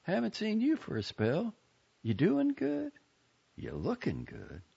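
A man's voice: sits high, wildly swooping pitch, slow, creaky, warm tone, slightly rough, muffled recording, pitched above the performer's own voice, full character voice. A man voicing a nervous teenage boy working a counter, talking in a strained, flustered way.

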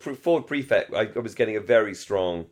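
A man's voice: fairly low pitch, lively intonation, fairly quick. A man with a British accent in casual discussion, hesitant and chatty, often circling back to rephrase himself. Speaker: podcast co-host. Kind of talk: casual chat, discussion of a radio comedy.

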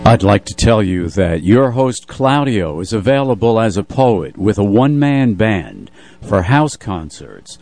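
A man's voice: on the low side, very animated, a little slow, darkish tone. A man reading scripted announcements as a radio station announcer.

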